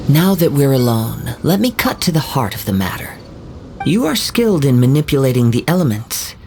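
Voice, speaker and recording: Poised young swordsman: measured, courteous speech with a slight fry and faint music underneath. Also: mid-range voice, a little mellow. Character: calm, poetic young wandering samurai. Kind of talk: video game voice acting.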